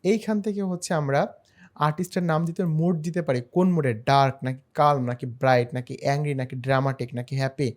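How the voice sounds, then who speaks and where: A man narrating a screen-share software tutorial in a step-by-step, explanatory way. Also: a little high. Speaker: tutorial host.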